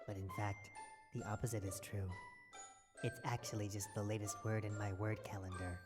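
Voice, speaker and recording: A woman performs a grave, portentous narrator in an exceptionally deep voice. The delivery is deadpan comedy narration, washed in long reverb over clear music. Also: normal speed.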